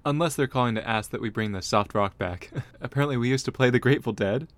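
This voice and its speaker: A man playing a wry, teasing young science show co-host in a scripted comedy, with deadpan delivery. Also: mid-range voice, fast talker, close to an everyday voice. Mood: friendly teasing.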